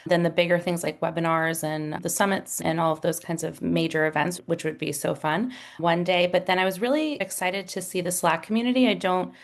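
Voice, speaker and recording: A woman's voice: lowish voice, steady tone, fairly quick, hardly any pauses. A woman who is a guest, talking enthusiastically about an online community, with "like" fillers. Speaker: guest, support professional. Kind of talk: casual video call interview.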